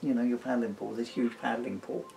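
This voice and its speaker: Middle-aged British dad, playful and digressive, mid-pitched, with some background noise on a camera mic. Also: male voice, steady tone, fairly quick, creaky, mellow, poor audio. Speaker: father, author and stage performer. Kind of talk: casual chat and reading own comic book aloud.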